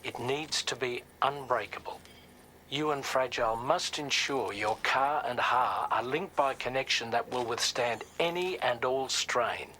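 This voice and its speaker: An actor playing an earnest, commanding expedition leader in a video game, speaking in a deliberate, formal way. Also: male voice, medium pitch, bright, forceful tone, a little hiss or hum. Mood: grave, instructive. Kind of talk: cinematic game dialogue, briefing.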